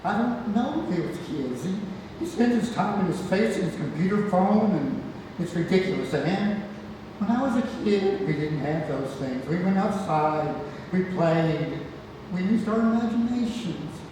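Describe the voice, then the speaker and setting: A man's voice: sits high, animated, normal speed. Man reading as grumbling old man, echoey hall. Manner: grumbling, rambling. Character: cranky old great-grandfather, bedridden in a care home. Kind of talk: play table read. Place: reverberant theatre room, far from mic. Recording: echoey hall, distant mic, heavy noise.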